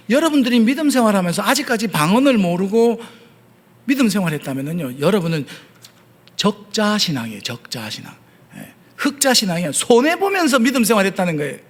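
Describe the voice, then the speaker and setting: High-voiced Gyeongsang-accented pastor preaching. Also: male voice. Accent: Gyeongsang Korean. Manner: earnest preaching with frequent hesitation fillers. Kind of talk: sermon. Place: church sanctuary.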